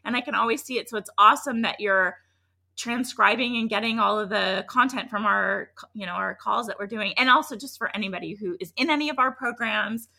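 A woman podcast host with a bright, forward voice that crackles with fry. She sounds a little scratchy and under the weather, yet talks in a chatty, effusive, emphatic style. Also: mid-range voice, fairly quick. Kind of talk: upbeat conversational podcast intro and interview.

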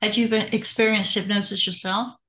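A woman's voice: medium pitch, fairly even intonation, brisk, fairly bright tone. Friendly woman host, echoey room. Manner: friendly questioner, laughs often. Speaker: podcast co-host. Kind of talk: casual interview chat.

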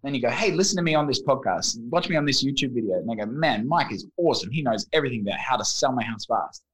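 A man's voice: medium pitch, fast talker, low-quality recording. An Australian man in his thirties, a podcast guest talking casually and acting out little voices as he tells his stories. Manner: animated storyteller, acts out voices in anecdotes.

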